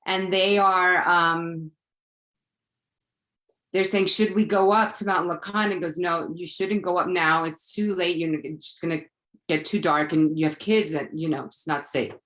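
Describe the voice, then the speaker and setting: A woman's voice: lowish voice, measured pace. A woman from Florida, moderating an online group, tells a personal story casually and acts out the dialogue as she goes. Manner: animated retelling with acted-out dialogue. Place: online live video call.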